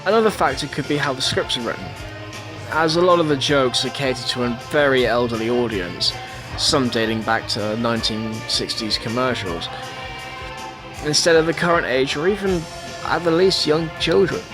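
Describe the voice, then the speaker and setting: A young man reads scripted documentary narration. His pitch sits in the middle, and faint music plays behind him. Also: normal speed. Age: young adult.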